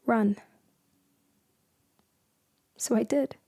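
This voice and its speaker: A woman playing a shaken, grieving shipwreck survivor in an intimate, halting ASMR storytelling style, with a soft voice that slips into whispers. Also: a little high, fairly even intonation, no echo, clean studio sound, heavily acted. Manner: halting, hushed, dramatic pauses.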